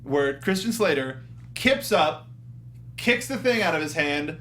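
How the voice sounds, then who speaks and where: A man's voice: a little high, expressive, a little slow, pushed and bright. A man co-hosting a casual, comedic film discussion, quoting lines with mock exasperation. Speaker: co-host of a film review show. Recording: faint background noise.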